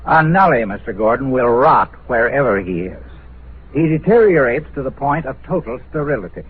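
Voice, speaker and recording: Stern official on tinny old radio. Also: male voice, medium pitch, animated, husky. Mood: stern, grave. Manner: formal, deliberate, grave. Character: stern, officious male government health commissioner. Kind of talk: mid-century American radio drama acting. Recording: tinny, noisy vintage radio recording.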